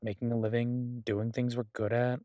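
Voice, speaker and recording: A man performs a charming male crime boss in an intimate audio roleplay, recorded very dry with no room sound. His voice sits at a middle pitch as he rambles casually, pausing and hesitating, slipping between self-mockery and playful boasting. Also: level tone, warm tone, no echo, natural voice. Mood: fond, playfully smug. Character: charming wry male mafia boss, restless in hiding.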